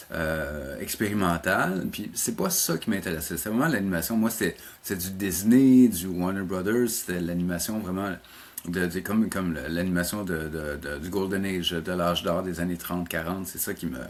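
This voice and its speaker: A middle-aged man with a Quebec French accent speaks in a low register. He talks as an interview guest, in a conversational, halting way, often doubling back on words as he explains. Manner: halting, repeats words while recounting. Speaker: college animation teacher, interview guest.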